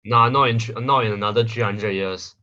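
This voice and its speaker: A man's voice: on the low side, fairly even intonation, a little fast, pushed and bright, highs cut off, voice pushed down. A man voicing a blunt, dismissive teenage schoolboy with a Singaporean English accent. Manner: blunt, curt.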